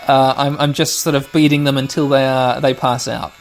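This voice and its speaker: A man in his thirties with a slightly hoarse voice, hosting a let's play with rambling, sweary, mock-dramatic gameplay commentary. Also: mid-range voice, flat delivery, normal speed. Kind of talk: casual gameplay commentary with nostalgic asides. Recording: music playing behind the voice. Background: music.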